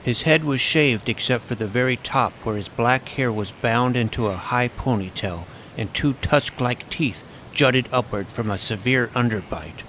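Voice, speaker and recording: A man's voice: medium pitch, normal speed, few pauses, fairly bright tone, slight background noise, rough recording. A man narrating a comic fantasy adventure in the first person as its self-important young hero.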